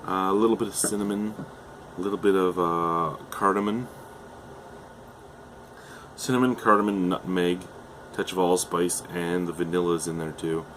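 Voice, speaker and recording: A young man from Canada with a low voice that is going hoarse talks his way through a beer review at home. He rambles casually, with plenty of "uh"s and restarted sentences. A faint air-conditioner hum sits underneath. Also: fairly even intonation, moderate pace, pauses often, a bit bright. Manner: chatty, hesitant, with frequent fillers and restarts. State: losing his voice.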